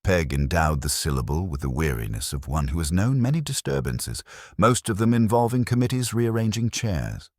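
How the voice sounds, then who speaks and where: A man reading scripted story narration in a measured, literary storytelling manner. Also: low voice, very animated, a little mellow, no room sound, clean studio sound.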